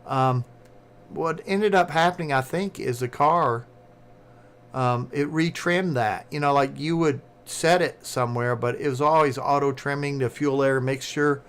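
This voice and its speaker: A man's voice: medium pitch, fairly bright tone, clear, resonant tone. Rambling man with a Southern American accent. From southern United States. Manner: fast-talking, rambling. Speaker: live streamer. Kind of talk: casual chat.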